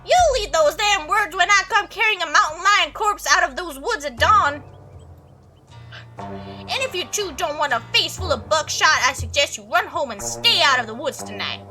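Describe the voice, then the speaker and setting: A woman's voice: extremely high pitch, animated, normal speed, pushed and bright, faint music behind, full character voice. A woman voicing a stubborn old male country farmer with a put-on Southern accent and a folksy, scolding manner. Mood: indignant.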